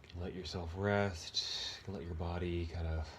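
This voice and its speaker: Low-pitched man, meditation teacher, gentle and halting delivery, long pauses, light background noise. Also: steady tone. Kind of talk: guided body meditation, unscripted.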